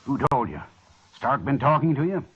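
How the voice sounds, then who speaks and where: An actor playing a proud, gruff middle-aged cattleman colonel, blustering and indignant, in an old time radio western. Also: mid-range voice, very animated, normal speed, fairly bright tone, a little hiss or hum. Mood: defensive, stern. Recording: muffled, dull old radio recording.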